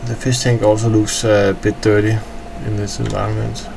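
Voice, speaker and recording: A man's voice: fairly low pitch, little pitch movement, moderate pace, vocal fry, music in the background. A tired man from Denmark with a Danish accent, rambling with hesitant fillers as he tours his in-game hangar. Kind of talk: casual game walkthrough commentary.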